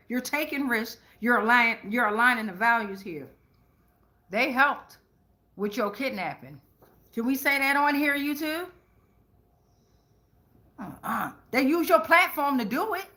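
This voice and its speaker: Female tarot reader, forceful bright tone, higher pitch; casual, rambling, profane, slang-heavy delivery. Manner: rambling, with frequent "right?" check-ins. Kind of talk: casual tarot reading monologue.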